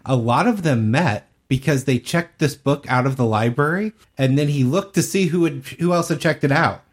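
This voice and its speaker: A man's voice: fairly high pitch, moderate pace, slightly rough. A man co-hosting a podcast, telling things in a casual, conversational way. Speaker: podcast co-host.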